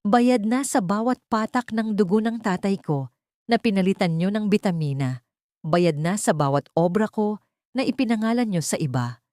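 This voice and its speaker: A woman's voice: medium pitch, expressive, normal speed, dry recording, clean studio sound, natural voice. Cold, wronged woman voiced by a female storyteller. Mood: bitter, resolute. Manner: cold, quiet, accusing. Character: wronged former fiancée, cold, weary, resolute woman. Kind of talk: Tagalog romance drama storytelling.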